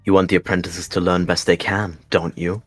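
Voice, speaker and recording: Guy doing a stern warrior cat mentor for a roleplay game, low raspy voice, bossy, instructing. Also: level tone, a little fast, no echo, soft background music. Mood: commanding. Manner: commanding, instructing.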